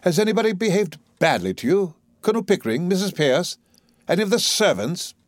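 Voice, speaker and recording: A man's voice: mid-range voice, big pitch swings, normal speed, no room sound, studio quality, voice pushed up. A man playing an arrogant, impatient male professor with an imperious, condescending, exclamatory way of talking in a play reading. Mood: lofty, uneasy.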